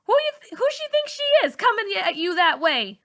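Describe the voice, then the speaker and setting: A woman voicing a cocky teenage boy bully in a storybook, loud and mocking. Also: very high voice, very animated, normal speed, pitched above the performer's own voice, full character voice. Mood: cocky, challenging. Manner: sing-song mocking, loud.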